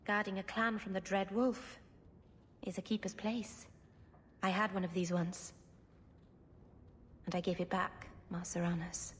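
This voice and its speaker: A woman's voice: medium pitch, little pitch movement, unhurried, pauses often, slight background noise, lightly acted. An actress playing an earnest female elf storyteller telling myths in a fantasy game. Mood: gently explaining. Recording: long reverb, clear background ambience.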